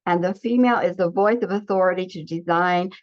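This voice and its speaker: A woman's voice: lowish voice, a little fast. A woman giving an earnest spiritual teaching talk, speaking in declarations with frequent restarts. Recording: slightly dull lo-fi recording.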